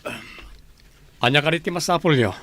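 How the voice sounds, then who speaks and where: A man's voice: on the high side, normal speed, a bit bright, no echo, natural voice. A man playing a blunt, commanding wealthy mansion owner in a radio comedy drama. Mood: stern. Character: wealthy male mansion owner, childless husband.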